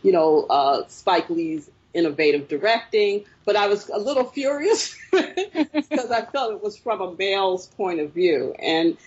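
Husky-voiced woman guest, lo-fi sound. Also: medium pitch, very animated, measured pace, darkish tone. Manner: reflective storytelling with frequent fillers. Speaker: filmmaker guest. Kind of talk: conversational interview answers.

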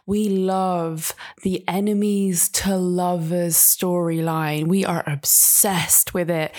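A woman hosting a podcast, giving a casual, gushing introduction in informal, sweary language. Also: on the low side. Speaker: podcast host.

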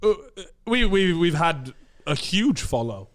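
Chatty podcast host, smooth voice. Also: male voice, fairly high pitch, very animated, takes it slowly. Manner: chatty, swearing, jokey storytelling. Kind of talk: casual podcast chat.

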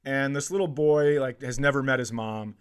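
A man's voice: mid-range voice, normal speed, smooth. A man co-hosting a comedy podcast, riffing casually with sarcastic, exasperated humour. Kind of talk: casual comic chat.

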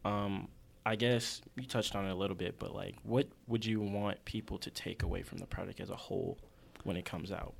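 Male interviewer, laid-back and encouraging, low register with heavy vocal fry. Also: fairly even intonation, brisk, a little hiss or hum. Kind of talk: casual interview chat.

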